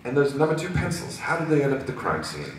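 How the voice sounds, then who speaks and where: A man's voice: medium pitch, big pitch swings, fast, very echoey, distant mic, a little hiss or hum, voice pushed up, close to an everyday voice. A man voicing a prim, anxious female pony lawyer with refined, formal and indignant diction at a script reading. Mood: probing.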